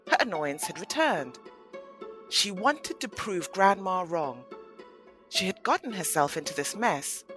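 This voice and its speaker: A woman narrates an audio play in a slightly low voice. Her storytelling is expressive, with lots of melodic movement in the pitch. Soft music plays faintly underneath.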